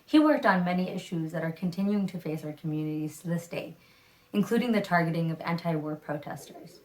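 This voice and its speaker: A woman's voice: lowish voice, animated, fairly quick, a little mellow, low-quality recording. A young woman from Tanzania, a law student, giving a polite and formal scholarship acceptance speech.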